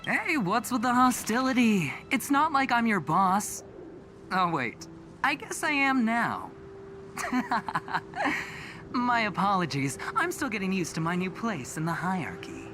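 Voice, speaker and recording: An actor playing a smug, smooth-talking young executive with a gambler's temper in a video game, teasing and theatrical. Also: male voice, high-pitched, very animated, takes it slowly, bright, forceful tone, faint music behind, heavily acted. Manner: teasing, theatrical, self-satisfied.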